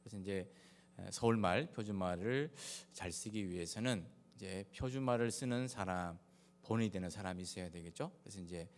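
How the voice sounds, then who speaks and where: Low-voiced Korean pastor with Gyeongsang accent. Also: male voice, moderate pace. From Daegu, South Korea. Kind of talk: sermon with personal anecdotes. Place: large reverberant church hall. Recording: echoey hall with long reverb.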